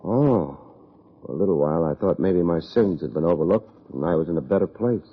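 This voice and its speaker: Low, husky, wry American private eye. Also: male voice, brisk, exaggerated voice. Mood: groggy, wry. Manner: deadpan wisecracking. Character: wry, self-deprecating male private investigator. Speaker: lead actor, narrator. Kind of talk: old-time radio detective drama acting. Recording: muffled old radio recording.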